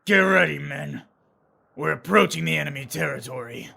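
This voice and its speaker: A man playing a defiant, commanding young general in an animated action story, with a gravelly voice and some noise on the microphone. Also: a little high, moderate pace, heavily acted. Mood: determined. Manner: dramatic, stubborn, commanding. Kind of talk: amateur animated action voice acting.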